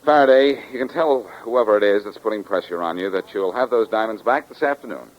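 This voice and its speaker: A man's voice: on the low side, fairly quick, natural voice. A man playing a confident, wisecracking amateur sleuth in the cool, teasing style of 1940s radio detective drama. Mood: needling. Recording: dull old 1940s radio recording.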